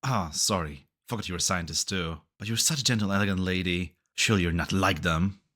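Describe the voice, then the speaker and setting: Deep, bright-voiced dutiful man acted by a man. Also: fairly quick. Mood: concerned. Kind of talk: scripted game fan dub acting.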